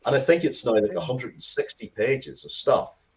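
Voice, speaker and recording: A man's voice: medium pitch, animated, normal speed, low-quality recording. A man chatting casually as an interview guest, rambling and often breaking off to restart his sentences. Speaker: interview guest, comics and game writer.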